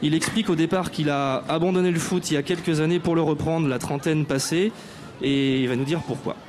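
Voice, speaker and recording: A man's voice: a little high, steady tone, a little fast, darkish tone, slight background noise. A man hosting a live radio show on an outdoor stage, introducing guests in a formal, playfully grand way with frequent hesitations. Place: outdoor stage at an arts venue with audience.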